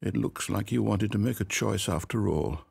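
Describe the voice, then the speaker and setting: An actor playing the fussy, needy male narrator of an office video game, swinging between pleading, wry sarcasm and giddy excitement. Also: on the low side, normal speed, vocal fry, slightly rough, full character voice. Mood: hurt.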